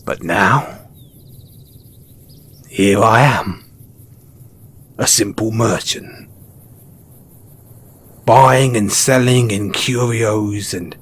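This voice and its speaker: A man's voice: medium pitch, fairly bright tone, slightly rough, full character voice. A man playing a mysterious, wry and cynical wasteland merchant in a post-apocalyptic audio roleplay, speaking colloquially and knowingly straight to the listener. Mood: reflective, frank. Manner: unhurried, colloquial, knowing, with pointed pauses.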